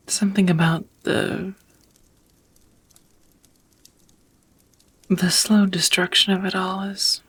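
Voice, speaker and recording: A woman playing a centuries-old vampire roommate in an intimate ASMR roleplay, tender and melancholy, whispering softly with hesitant, formal wording. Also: medium pitch. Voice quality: breathy, whispery, soft.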